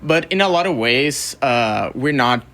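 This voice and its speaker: A man's voice: medium pitch, expressive, a little slow, fairly bright tone. A man from Brazil speaking casually as a guest in an interview. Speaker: interview guest, record label co-founder and music photographer.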